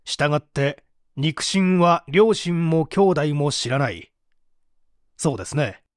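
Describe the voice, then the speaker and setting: Man acting a formal, probing prosecutor, higher-pitched voice. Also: animated, takes it slowly, dry recording, studio quality. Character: composed, formal male public prosecutor questioning a suspect. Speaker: voice actor reading a radio drama. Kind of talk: dramatized mystery reading.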